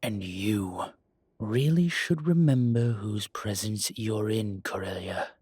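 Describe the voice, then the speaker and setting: A man playing an ancient, proud vampire elder in an audio drama, deliberate and quietly menacing, with a husky voice. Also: lowish voice, no echo, studio quality. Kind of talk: audio roleplay drama acting.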